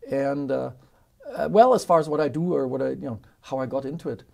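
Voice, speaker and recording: Gravelly man with a German accent. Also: mid-range voice, a little fast. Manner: animated, laughs often, acts out quotes. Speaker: guest, professor. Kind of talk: casual interview storytelling. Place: studio with dark curtain backdrop.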